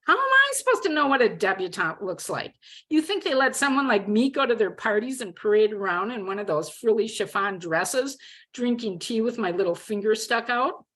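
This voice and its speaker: A woman's voice: on the high side, very animated, moderate pace, a bit bright, voice pushed up. Woman acting a snappy, defiant young college woman. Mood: defiant, snarling. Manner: snappy, sarcastic.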